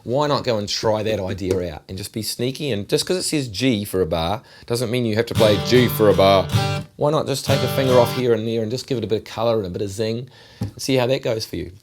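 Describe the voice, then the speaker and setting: A middle-aged man with a New Zealand accent, giving a relaxed, chatty guitar lesson. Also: lowish voice, quick pace. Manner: relaxed, chatty, repeats himself while demonstrating. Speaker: guitar teacher. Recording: loud guitar over the voice. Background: acoustic guitar playing.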